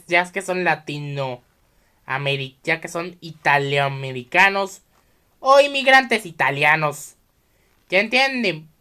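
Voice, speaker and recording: Bright, animated Mexican man podcasting. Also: high voice, smooth. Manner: halting, repetitive, often restarting sentences mid-phrase. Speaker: video podcast host. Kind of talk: informal solo explainer, addressing viewers.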